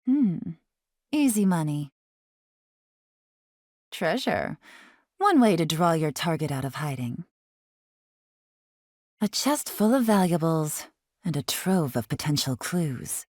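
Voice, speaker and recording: A cool, shrewd investigator in a video game. Her low female voice moves slowly and expressively, confident and knowing. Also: big pitch swings, a little mellow, dry recording, clean studio sound, full character voice. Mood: cool, intrigued.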